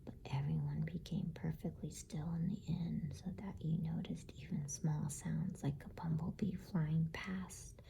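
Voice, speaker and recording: A woman reading a bedtime story in ASMR style, in a soft, hushed, breathy whisper. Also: deep voice, fairly even intonation, slight background noise. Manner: slow, gentle, hushed.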